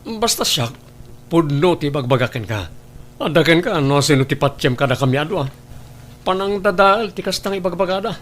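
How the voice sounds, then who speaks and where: Evasive radio-drama husband and father, voice a touch high, insistent, some background noise. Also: animated, brisk, a bit bright. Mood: defensive, irritated. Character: evasive, defensive husband and father with a secret love. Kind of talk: Ilocano family radio drama acting.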